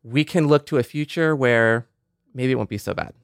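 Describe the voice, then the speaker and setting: A man's voice: mid-range voice, moderate pace, creaky, clean tone, no room sound, studio quality. A man co-hosting a podcast in a casual, reflective conversational style. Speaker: podcast co-host.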